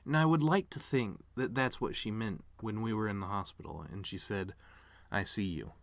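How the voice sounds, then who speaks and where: A man's voice: mid-range voice, dry recording, lightly acted. A man acting a bitter, sardonic grieving son. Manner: sardonic, rambling, stand-up-like asides with pauses. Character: bitter, regretful actor son giving his mother's eulogy. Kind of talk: dramatic monologue reading.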